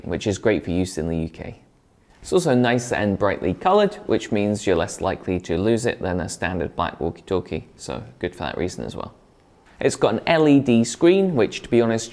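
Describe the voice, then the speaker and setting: A man's voice: on the low side, a little mellow. A man presenting a product review in a conversational, explanatory way, partly scripted.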